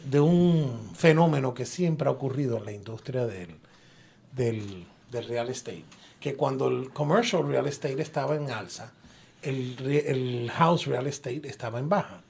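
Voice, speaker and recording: A jovial man hosts a live radio talk show from the booth, speaking at an everyday pitch. He banters with his guests and mixes English words into his talk. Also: unhurried, gravelly, slightly rough.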